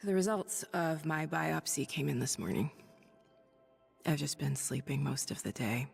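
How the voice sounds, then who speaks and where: Low-pitched game heroine, gravelly edge, dry wit, confident scientist. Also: female voice, a little slow, faint music behind, exaggerated voice. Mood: distraught, subdued. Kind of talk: video game character dialogue.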